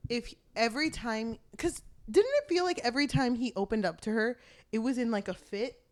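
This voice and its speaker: A woman's voice: on the high side, moderate pace. A woman co-hosting a book podcast in casual conversation, informal and playful, with slangy phrasing. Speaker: podcast co-host.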